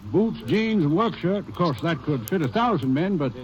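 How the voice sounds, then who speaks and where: A man's voice: a little high, lively intonation, normal speed, a little mellow, close to an everyday voice. An actor playing a terse, plain-spoken male druggist who witnessed a crime, in a 1940s radio drama. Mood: matter-of-fact. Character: high-voiced male small-town druggist, eyewitness. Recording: dull lo-fi vintage radio recording.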